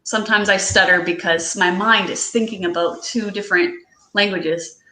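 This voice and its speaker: A woman author and educator, a native Portuguese speaker, chatting modestly with halting restarts on a video call. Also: mid-range voice, fairly even intonation, reverberant, noisy background. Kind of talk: casual interview chat.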